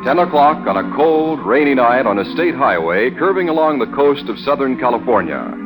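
Male narrator for a vintage radio adventure: dramatic, highly animated, raised pitch, old recording under loud music. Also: moderate pace, low-quality recording. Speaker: announcer and narrator.

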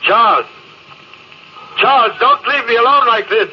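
A man's voice: very high voice, measured pace, slight background noise. A man playing a proud, bitter, anxious space captain, with intense theatrical delivery, on a muffled old radio recording. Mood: desperate, bitter. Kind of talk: 1950s radio drama acting.

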